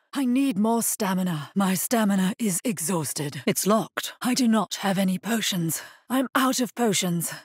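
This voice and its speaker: An actress voicing a solemn, grave necromancer in a video game, with measured, formal delivery. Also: female voice, mid-range voice, no echo, clean studio sound, natural voice. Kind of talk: scripted video game character voice lines.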